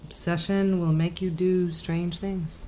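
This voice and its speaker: An actress playing a mysterious, composed woman hitchhiker in an audio drama, speaking in a cryptic, measured, formal manner. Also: lowish voice, flat delivery, darkish tone, slight background noise, low-quality recording. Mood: enigmatic, composed.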